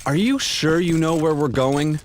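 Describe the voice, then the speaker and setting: An actor playing a brash, sceptical young travelling companion in a video game, complaining in a casual, sarcastic way. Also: male voice, a little high, normal speed, close to an everyday voice. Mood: doubtful. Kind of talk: video game cutscene acting. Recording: noisy game audio with background ambience.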